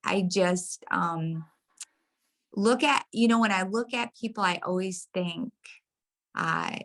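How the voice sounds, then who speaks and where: A woman podcast guest from the United States talking casually and earnestly about her views, haltingly, checking that she is followed, her voice tiring. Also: mid-range voice, a bit bright. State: voice tiring, throat clearing. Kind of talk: casual reflective spiritual conversation.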